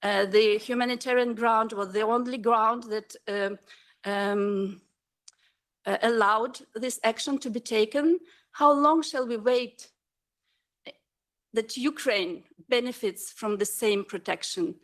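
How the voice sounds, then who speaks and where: A woman's voice: a little high, takes it slowly. A woman putting a question from the floor at a conference, speaking hesitantly and searching for words. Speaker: audience member asking questions.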